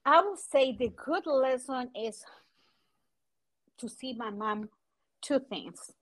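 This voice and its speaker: Chilean woman, halting and thoughtful. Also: high voice, animated, low-quality recording. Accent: Chilean Spanish. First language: Spanish. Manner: halting, searching for words, frequent fillers. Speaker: guest entrepreneur. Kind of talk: casual interview.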